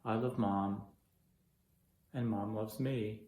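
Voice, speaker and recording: A man's voice: fairly low pitch, fairly even intonation, slow, dark tone, pitched below the performer's own voice. A man playing a hurt adult daughter pleading with her mother in a stage monologue. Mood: tender, nostalgic. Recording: echoey room.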